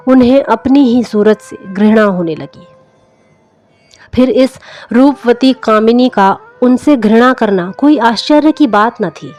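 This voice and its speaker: A woman's voice: on the high side, darkish tone. A woman reading a literary story aloud as a scripted narration, in the manner of an audiobook storyteller. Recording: music under the voice. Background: background music.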